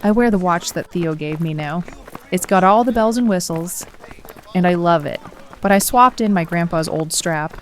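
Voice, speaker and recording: A woman's voice: lowish voice, normal speed, slight background noise, lightly acted. A woman playing an earnest, reflective runner who narrates her own story in an audio drama. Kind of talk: first-person story narration with acted dialogue.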